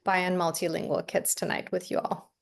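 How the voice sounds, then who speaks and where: A woman's voice: fairly low pitch, flat delivery, a little fast, vocal fry. A woman in her fifties whose first language is Farsi, introducing herself as a university faculty panelist on a video call, with many um fillers. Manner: frequent um fillers, soft-spoken.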